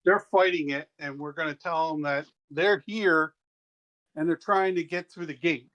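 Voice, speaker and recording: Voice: mid-range voice, fairly even intonation, normal speed, fairly bright tone, lo-fi sound. Give me a hesitant player musing aloud in a casual game session. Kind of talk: casual tabletop game chat.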